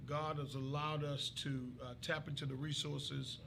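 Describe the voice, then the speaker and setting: A pastor from Trinidad and Tobago answers questions politely and hesitantly, with plenty of pauses and fillers. His voice is gravelly and a bit higher than typical. A clear hiss of noise runs behind him. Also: flat delivery. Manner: polite, keeps saying yes sir, hesitant with many uhs. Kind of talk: interview guest.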